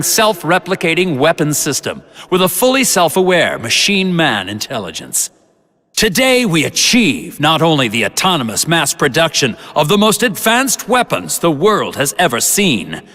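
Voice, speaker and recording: A man's voice: fairly high pitch, pushed and bright. A man playing a grandiose villain scientist in a video game, making pompous speeches about his creation. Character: visionary villain scientist, grandiose male weapons maker. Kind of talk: video game cutscene acting. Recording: long hall reverb, music behind.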